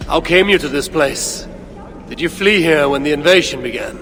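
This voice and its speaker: A man's voice: a little high, expressive, moderate pace, fairly bright tone, soft background music. A man playing a stern, proud Norse god and father in a video game, speaking formally and tersely. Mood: curious, measured. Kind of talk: video game cutscene acting.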